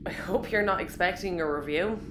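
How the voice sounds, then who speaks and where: A woman's voice: fairly low pitch, a little fast, close to an everyday voice. A woman playing a droll, snippy spaceship crew member in a sci-fi audio comedy. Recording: roomy with faint ambient noise.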